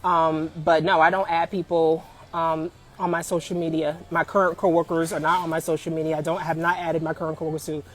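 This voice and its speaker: A woman in her forties giving career advice on a live stream, emphatic and animated, often calling out to viewers and laughing. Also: deep voice, brisk, hardly any pauses, poor audio. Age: 40s.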